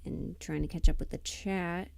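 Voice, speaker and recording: A woman hosting a casual livestream, chatting in a halting, distracted way and half muttering to herself as she works. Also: lowish voice, steady tone, creaky, darkish tone, no echo. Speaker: livestream host and audio performer. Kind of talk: casual livestream chat, muttering while working at a computer.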